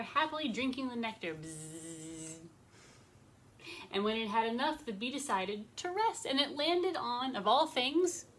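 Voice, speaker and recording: Higher-voiced woman telling a children's story. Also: slight background noise. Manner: expressive, asking young listeners simple questions. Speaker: rabbi telling stories to children.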